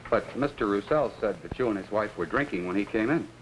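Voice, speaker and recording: A man's voice: fairly low pitch, expressive, fairly quick, lightly acted. An actor playing a persistent amateur detective in an early 1950s TV mystery, hesitant at first, then pointed in his questioning. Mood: probing. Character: persistent, probing amateur detective, son of a policeman. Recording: noisy, clicking 1950s TV soundtrack.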